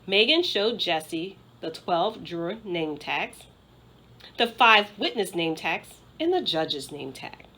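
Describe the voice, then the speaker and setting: Animated woman reading a children's story. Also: on the low side, slow, a little hiss or hum. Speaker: school principal reading aloud. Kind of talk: expressive children's storybook narration.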